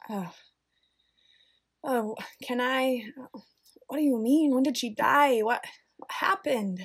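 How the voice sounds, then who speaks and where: A woman playing a shaken, vulnerable female character in a radio play, with a husky voice and a hesitant way of talking. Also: high-pitched, slow pace, exaggerated voice. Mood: shocked.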